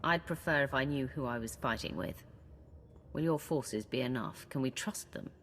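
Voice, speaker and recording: A woman playing a steady, commanding leader giving orders in a game cutscene. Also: extremely low pitch, moderate pace, long reverb tail, a little hiss or hum.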